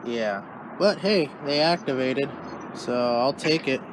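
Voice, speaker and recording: A man's voice: medium pitch, slow, background noise. A man hosting a hobby video, giving casual commentary as he checks radio equipment results.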